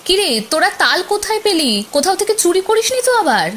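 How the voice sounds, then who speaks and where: An actress playing a caring, brisk village mother in a cartoon fairy tale. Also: very high-pitched, lively intonation. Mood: suspicious. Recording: rain noise behind.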